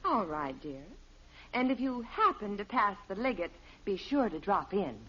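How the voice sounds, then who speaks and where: An actress playing a patient, gently chiding middle-aged American wife and mother in a 1950s radio sitcom. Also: mid-range voice, very animated, moderate pace, a little hiss or hum. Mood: calm, wry. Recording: dull old radio recording.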